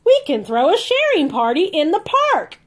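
High, sing-song cheerful cartoon bear read by a woman. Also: very animated, moderate pace. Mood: cheerful, encouraging.

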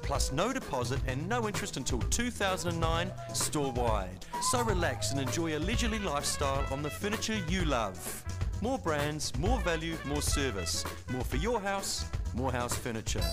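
A man's voice: a little high, sing-song, moderate pace. A man reading a scripted commercial voice-over as announcer. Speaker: advert announcer. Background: music.

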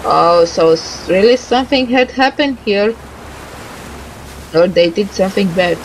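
A woman doing let's play commentary, reading game dialogue aloud haltingly and adding muttered asides. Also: mid-range voice, lively intonation, a little hiss or hum, low-quality recording.

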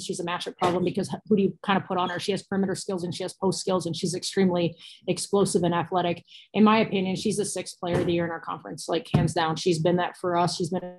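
Fast-talking, low-voiced American woman coach. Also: level tone, a little mellow, rough recording. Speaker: basketball coach. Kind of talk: postgame press conference answers. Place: small cinder-block room indoors. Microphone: laptop or phone on a video call.

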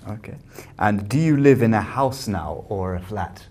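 Deep-voiced male examiner. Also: expressive, normal speed, darkish tone. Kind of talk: scripted exam questions. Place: small office with a desk.

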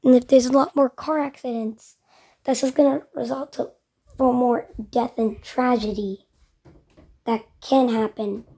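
High-pitched, slow-talking woman reacting. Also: steady tone, creaky, warm tone, breathy edge. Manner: musing, explains own reasoning aloud. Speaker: reaction video host. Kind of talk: casual reaction commentary.

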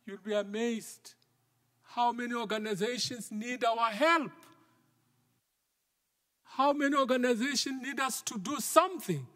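A middle-aged male minister preaching a sermon from the pulpit, in a measured style that sometimes drops to a near whisper for emphasis. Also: extremely high pitch, sharp tone. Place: large wooden church sanctuary, at the pulpit. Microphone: pulpit microphone. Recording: long church reverb.

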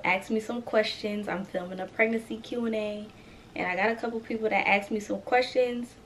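A woman's voice: mid-range voice, slight background noise. Chatty young woman with a cold. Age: 20s. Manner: chatty and animated, talks straight to viewers. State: congested with a cold. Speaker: vlogger. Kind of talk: casual chat to camera.

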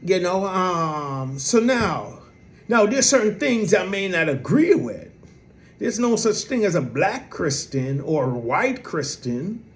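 Man, soft voice, high and animated, slow-paced, chatty preacher. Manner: lively, conversational preaching with fillers. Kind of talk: sermon.

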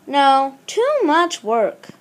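A woman's voice: high voice, extremely slow, noisy background. A woman reading a children's picture book aloud expressively, acting out the exclamations and dialogue. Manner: animated, acts out exclamations and dialogue. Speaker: storybook reader.

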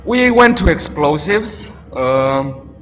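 A man with a Romanian background giving loud, goofy commentary while playing a horror game, taunting the enemies. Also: on the high side, expressive, slow pace. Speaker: let's play gamer. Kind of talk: casual gameplay commentary. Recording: roomy reverb with game music behind.

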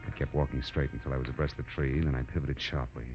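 Tough private eye, low-pitched, hardboiled clipped narration, 1940s radio drama, dull old recording with music behind. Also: male voice, steady tone, racing. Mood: grim, tense. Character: tough, wry male private detective narrating his case. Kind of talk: 1940s radio detective acting, hardboiled first-person narration.

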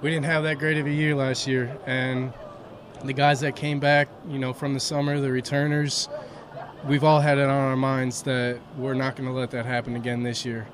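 A young man, a college baseball pitcher, answering post-game questions modestly outdoors at a ballpark, with lots of "you know" fillers. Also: little pitch movement, normal speed. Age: young adult. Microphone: handheld mic held by interviewer. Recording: outdoor noise around the mic.

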